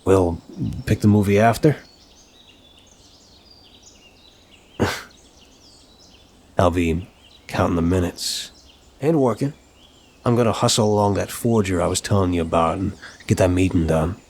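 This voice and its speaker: Man acting a low-voiced, smitten mafia boss. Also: husky. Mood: warm, eager. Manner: soft-hearted, halting, teasing, courteous. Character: apologetic, smitten, flirtatious male mafia boss. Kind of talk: intimate ASMR roleplay audio drama.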